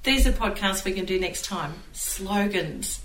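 A woman's voice: medium pitch, expressive, measured pace, a bit bright. An Australian woman chatting casually as a podcast co-host, recorded with echo from a distance. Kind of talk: casual chat. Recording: echoey, distant mic with some noise.

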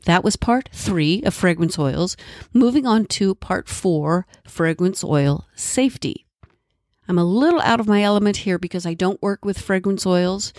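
A woman's voice: lowish voice, darkish tone, no room sound, clean studio sound. A woman podcast host with a congested, nasal voice from a cold, giving a friendly solo explanation with asides. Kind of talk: solo educational podcast talk.

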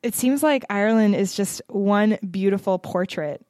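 A woman's voice: medium pitch, moderate pace, a little mellow, dry recording, clean studio sound. A woman hosting an interview podcast, upbeat and encouraging, asking her guest questions. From Seattle. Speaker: podcast host.

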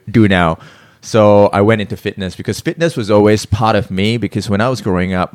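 A man's voice: low voice, no room sound, clean studio sound. A man in his thirties from Singapore, a guest talking casually in a hotel room, rambling and correcting himself, laughing now and then. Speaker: guest, personal trainer. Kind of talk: casual interview talk.

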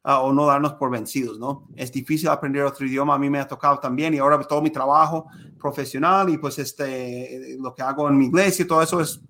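A man's voice: medium pitch, steady tone, fast talker, hardly any pauses, pushed and bright. A man hosting an interview podcast, talking with many hesitations and fillers. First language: Spanish. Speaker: podcast host. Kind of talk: conversational interview.

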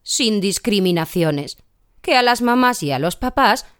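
A woman's voice: medium pitch, animated, measured pace, fairly bright tone, clear, resonant tone. A woman narrating a children's radio tale playfully, repeating words for effect. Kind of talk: scripted children's story narration.

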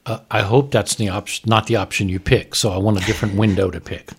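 A man's voice: fairly low pitch, steady tone, fast talker, a little hiss or hum. A man who is a doctor co-hosting a medical talk, explaining things in a plain-spoken, frank and emphatic way. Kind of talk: explanatory commentary in conversation.

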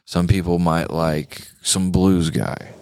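An American man with a deep voice hosts a casual music podcast. He talks in a laid-back, slangy way, and the sound is dry with very little room on it. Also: fairly even intonation, slow, a little mellow, clear, resonant tone, dry recording. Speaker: podcast host.

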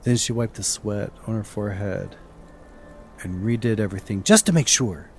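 A man's voice: fairly low pitch, moderate pace. Man telling tales over background music. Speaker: podcast co-host, storyteller. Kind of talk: informal campfire-style storytelling and casual chat.

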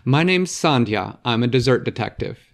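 A man's voice: mid-range voice, lively intonation, moderate pace, vocal fry. A man as a self-assured girl detective. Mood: confident. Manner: confident, matter-of-fact.

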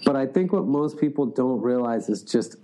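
A man's voice: medium pitch, lively intonation, normal speed, mellow, slight background noise, rough recording. A man in a casual interview, speaking loosely, with lots of "like" and restarts. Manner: loose, filler-heavy, frequent "like". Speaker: sales executive guest.

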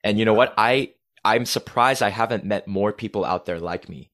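Low-voiced chatty man. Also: normal speed. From United States. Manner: energetic, chatty, swears freely. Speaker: podcast guest. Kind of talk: casual conversation.

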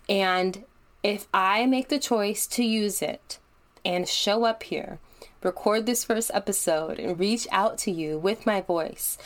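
A young adult woman hosting her own podcast, talking in an upbeat, conversational and encouraging way. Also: medium pitch. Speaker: podcast host. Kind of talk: solo podcast monologue.

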